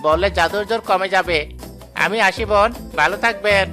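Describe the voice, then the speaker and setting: A man's voice: high voice, moderate pace, music in the background, close to an everyday voice. A man playing a sly village peddler in a cartoon moral drama, coaxing and persuasive as he gives instructions. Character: sly smooth-talking village peddler selling magic pills.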